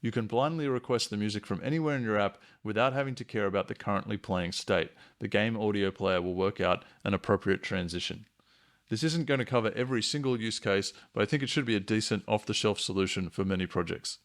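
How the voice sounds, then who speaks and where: A man narrating a software tutorial in a measured, instructional way. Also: lowish voice. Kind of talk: explanatory screencast tutorial narration.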